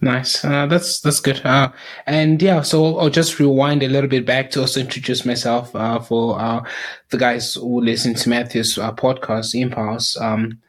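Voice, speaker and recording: A man with a Southern African accent hosting a casual podcast chat, speaking in a hesitant, halting way. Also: mid-range voice, flat delivery. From Zimbabwe, grew up in South Africa. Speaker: podcast host.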